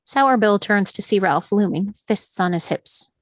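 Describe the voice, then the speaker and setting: A woman's voice: mid-range voice, expressive, unhurried, dry recording. A woman reading stage directions as the narrator of a screenplay table read.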